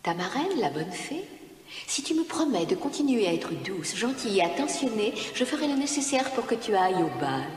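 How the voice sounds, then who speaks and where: A woman's voice: mid-range voice, very animated, moderate pace, roomy sound, in a large hall, natural voice. A woman playing a kindly, magical fairy godmother in a fairy-tale cartoon, soothing and encouraging. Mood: tender.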